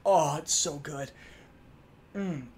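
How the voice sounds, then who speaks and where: A young man doing comedic reaction commentary on game voice lines, excitable and crude, exaggerating and swearing freely. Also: fairly high pitch, slow, slightly rough. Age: young adult. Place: small room indoors, wall covered in drawings. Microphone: desk microphone close by.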